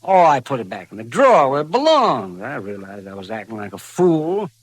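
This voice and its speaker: A man's voice: medium pitch, sing-song, fairly quick. An American man in a vintage radio western, playing a crusty, weary old town doctor who talks in a folksy, querulous mutter. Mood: grumbling. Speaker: radio actor.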